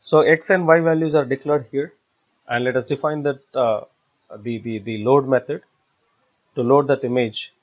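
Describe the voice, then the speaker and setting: A man giving a step-by-step software tutorial in a halting, repetitive way. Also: mid-range voice, fairly even intonation. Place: voice-over for screen recording. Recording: dull, lo-fi screencast audio.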